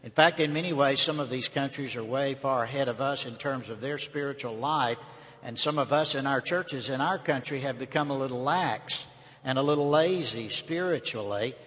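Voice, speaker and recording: A man's voice: mid-range voice, normal speed, a bit bright. An older man from North Georgia with a Southern US accent, speaking to a congregation as a visiting preacher in a folksy, conversational way. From North Georgia, United States. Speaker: visiting preacher, seminary teacher. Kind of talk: sermon, informal opening remarks to a congregation. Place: large indoor church space. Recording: reverberant church hall.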